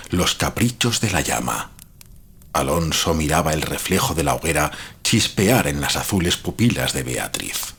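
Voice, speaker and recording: Dude reading a creepy old gothic tale, deep voice, super husky, grave and deliberate storyteller style. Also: male voice, fairly bright tone. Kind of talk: scripted gothic horror narration.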